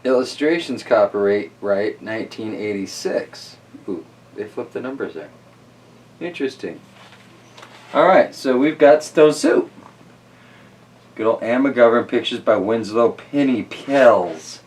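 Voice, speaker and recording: A man hosting a children's story reading, mixing casual, easygoing chat and musing asides with animated storybook narration. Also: mid-range voice, measured pace, far from the mic.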